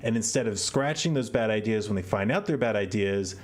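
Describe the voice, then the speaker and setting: A man's voice: on the low side, expressive, fairly quick. A man hosting a podcast, giving casual, exasperated commentary and often repeating words as he thinks aloud. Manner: opinionated, exasperated. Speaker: podcast host.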